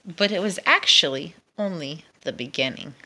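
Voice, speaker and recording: A woman reading a children's picture book aloud as a storytime narrator, expressive and deliberate. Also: lowish voice, slight background noise. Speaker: storytime reader.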